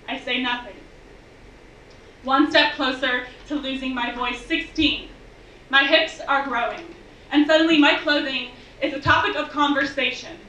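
Bright, high young woman reciting poetry. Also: slight background noise. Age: young adult. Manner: measured, intense, rhythmic delivery. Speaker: slam poet. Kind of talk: spoken-word poetry performance. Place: bar stage venue, indoors. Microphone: stage mic on stand, filmed from afar. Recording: distant, dull, echoey room recording.

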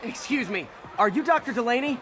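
An actor voicing a wry, quippy young masked superhero in a video game, earnest and quick with a joke. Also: male voice, very high-pitched, expressive, noisy background.

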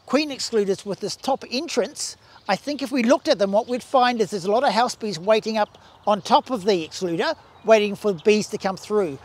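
A man in his sixties with a New Zealand accent, a bee scientist explaining hive equipment outdoors in a garden, talking with restarts and gestures. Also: high voice. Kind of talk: outdoor demonstration talk. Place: garden outdoors beside beehives.

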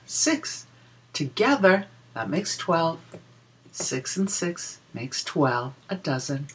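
A woman reads a children's counting book aloud, slowly and with lots of swooping pitch. Her voice is a little husky, and she explains things in a deliberate, sing-song teaching manner. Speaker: children's story reader and teacher. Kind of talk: picture-book read-aloud with counting for preschoolers.

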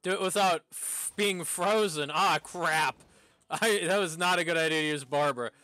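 A man's voice: fairly high pitch, normal speed, bright, forceful tone, no echo. A man streaming a game with casual live commentary, exclaiming when things go wrong. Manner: reads game lines aloud, exclaims at setbacks.

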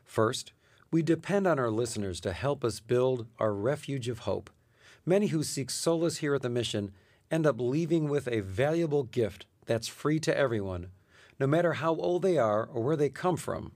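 Mid-pitched man, dry studio sound. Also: animated, moderate pace, darkish tone, dry recording. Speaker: charity president, guest. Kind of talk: scripted interview answers.

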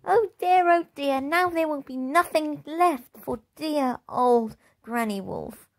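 A woman voicing a sweet, polite little male wolf cub who sings slowly in a high voice. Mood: wailing. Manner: sing-song, high and slow.

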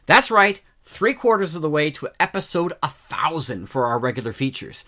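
A man's voice: a little high, sing-song, moderate pace, sharp tone. A man hosting an audio drama showcase, giving a scripted introduction in a playful announcer manner. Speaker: host.

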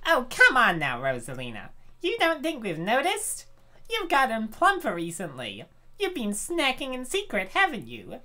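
A woman's voice: fairly high pitch, wildly swooping pitch, moderate pace, bright, forceful tone, exaggerated voice. A woman voicing a brash young princess in a comic dub, with a teasing, knowing, goading manner.

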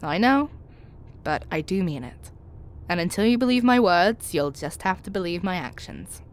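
A woman's voice: fairly low pitch, animated, normal speed, lightly acted. A woman acting in an audio roleplay as a tough, confident spy with a tender private side, wry and self-assured. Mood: tender, teasing.

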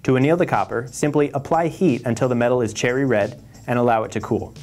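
A man's voice: mid-range voice, quick pace, a little mellow. A man presenting for a tool company, reading scripted step-by-step instructional narration. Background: music behind the voice.

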